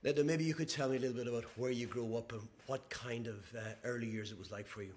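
A man's voice: medium pitch, fairly even intonation, fast talker, a little mellow, a little hiss or hum. A man hosting a podcast, asking questions conversationally and often repeating words as he forms them. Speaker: podcast host, interviewer. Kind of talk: conversational interviewing.

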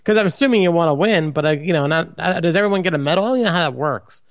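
A man's voice: a little high, rapid-fire, no echo, dull audio. A man from Maryland with a light Baltimore accent, co-hosting a casual podcast and setting up edgy jokes with a straight face. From Maryland, USA. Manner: deadpan, provocative jokes. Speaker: podcast co-host. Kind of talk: casual chat.

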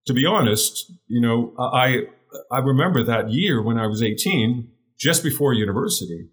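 A middle-aged man with a deeper voice, a guest telling his career story in an interview, earnest and often repeating words as he searches for the phrasing. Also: expressive, moderate pace.

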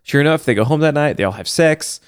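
A man co-hosting a casual podcast, recapping a movie with lively, laughing delivery. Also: a little high, fast talker, clear, resonant tone, no room sound, studio quality. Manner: animated, laughing, talks through the plot. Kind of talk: casual chat.

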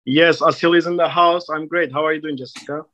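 A man in his thirties with a Turkish accent talks as a guest, his voice a touch high. He sounds confident and self-assured but restarts his sentences often, and the recording is dull and muffled. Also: fairly even intonation, fairly quick, sharp tone. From Turkey. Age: 30s. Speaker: hairstylist guest. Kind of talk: casual interview chat.

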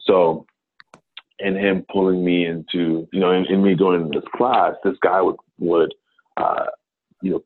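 A man's voice: low-pitched, husky. A man in his thirties from Ghana, a business consultant talking casually as a guest, rambling with many fillers and restarts. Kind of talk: casual interview talk. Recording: tinny phone-like line.

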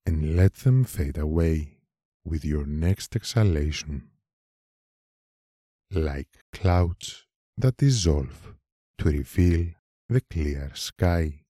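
A man leading a guided meditation in a calm, measured manner, leaving long pauses between short phrases. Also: a bass voice, dry recording, clean studio sound. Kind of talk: slow guided meditation narration.

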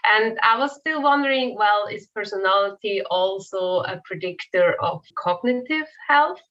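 A woman's voice: mid-range voice, expressive, a little slow, dull audio. A woman from Switzerland with a Swiss accent, a researcher explaining her work as an interview guest. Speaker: postdoctoral researcher.

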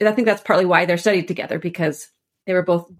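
Female expert guest, lowish voice, informal and explanatory, loosely strung conversational sentences. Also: steady tone, fast. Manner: explanatory, informal, meandering phrasing. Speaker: scholar and author, podcast guest.

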